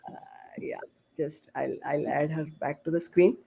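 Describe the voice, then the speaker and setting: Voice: mid-range voice, fairly even intonation, a little slow, vocal fry, dark tone, slightly rough. A host moderating a live online panel over a video call, speaking in an informal, chatty and somewhat hesitant way.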